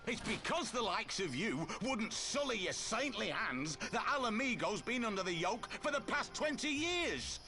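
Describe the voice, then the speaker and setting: An actor playing a gruff, solemn and fervent male resistance fighter in a video game cutscene. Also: high-pitched, very animated, moderate pace, hardly any pauses, over music. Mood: angry, defiant.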